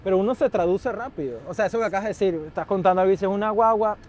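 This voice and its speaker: High-voiced man hosting on a rooftop. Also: darkish tone, slight background noise. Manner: friendly, talkative, quick to joke. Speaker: host. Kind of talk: casual interview. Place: rooftop in Brooklyn, outdoors.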